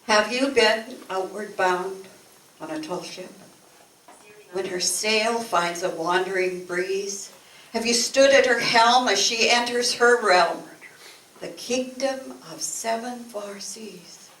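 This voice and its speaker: An older woman reciting poetry expressively at a community event, with measured, dramatic phrasing. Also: mid-range voice, a bit bright. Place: event room, recorded from a distance. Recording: echoey, noisy room, distant microphone.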